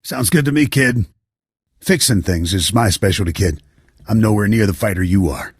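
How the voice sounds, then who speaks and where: An actor playing an easygoing veteran army lieutenant and mechanic in a video game, with a folksy, chummy manner. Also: male voice, lowish voice, normal speed, breathy edge, no echo, clean studio sound. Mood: brisk, encouraging.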